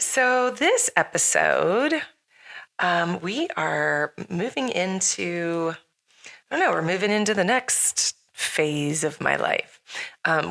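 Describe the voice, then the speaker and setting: A middle-aged woman with a slightly hoarse, raspy voice hosts a casual podcast chat, rambling with lots of fillers and frequent laughs. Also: fairly low pitch, pushed and bright. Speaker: podcast host.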